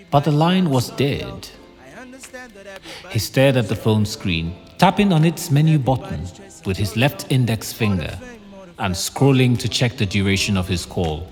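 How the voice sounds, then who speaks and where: Casting: audio drama narrator, animated and theatrical storyteller, on the low side, reverberant over soft music. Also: male voice. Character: omniscient dramatic male storyteller. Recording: long reverb, faint music bed.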